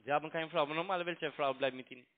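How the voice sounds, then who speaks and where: A man with a foreign accent in German, arguing on a phone call in halting, repetitive broken grammar. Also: on the high side, fairly even intonation. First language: not German. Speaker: phone scam caller. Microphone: telephone. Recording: tinny narrow phone line.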